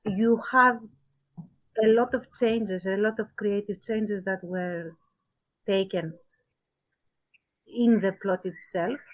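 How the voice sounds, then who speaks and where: A woman's voice: mid-range voice, poor audio. A woman co-hosting a podcast, giving her opinions in a casual discussion and thinking aloud as she qualifies her points. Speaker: podcast co-host.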